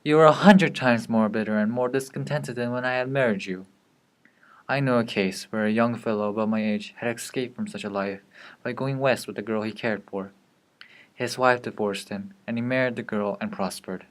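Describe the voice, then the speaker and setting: A man playing a weary, bitter young husband in a brooding, deliberate dramatic monologue. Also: medium pitch, fairly even intonation, lightly acted. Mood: bitter resentment.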